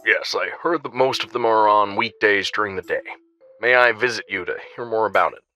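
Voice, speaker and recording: A courteous property management agent in a manga dub acted by a man. He speaks in a low, measured, matter-of-fact way, all business. Quiet music runs underneath. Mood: polite, matter-of-fact. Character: polite, businesslike male apartment management agent.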